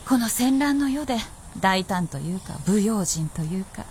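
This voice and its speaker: An actress playing a sly, bold princess of feudal Japan in a period radio drama, with poised, archaic speech. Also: female voice, medium pitch, expressive, moderate pace, darkish tone, slight background noise, close to an everyday voice. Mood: frank, bossy.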